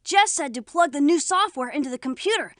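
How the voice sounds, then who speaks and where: A woman voicing an eager, excitable young rookie adventurer in a children's computer game, gushing and exclaiming. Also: high voice, brisk, background noise. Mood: excited.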